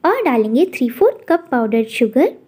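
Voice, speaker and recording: Cooking host, woman, high mellow voice, calm step-by-step recipe voice-over. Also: normal speed, husky. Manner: calm instructional narration.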